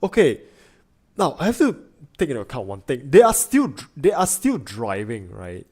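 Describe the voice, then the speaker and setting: A man's voice: medium pitch, huge pitch swings, normal speed, darkish tone, slightly rough, faint music behind. A man streaming a casual reaction, thinking aloud with lots of fillers and restarts. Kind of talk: casual chat.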